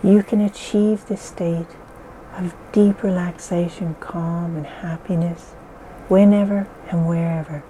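A woman leading a guided relaxation in slow, soothing, repetitive phrases. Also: fairly low pitch, mellow, a little hiss or hum, poor audio. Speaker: meditation guide.

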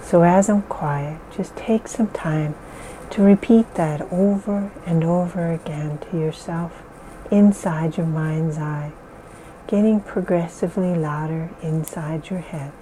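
Slow, dark-voiced woman guiding relaxation. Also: fairly low pitch, slight background noise, poor audio. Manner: slow, soothing, repetitive phrasing. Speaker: meditation guide. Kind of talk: guided relaxation script.

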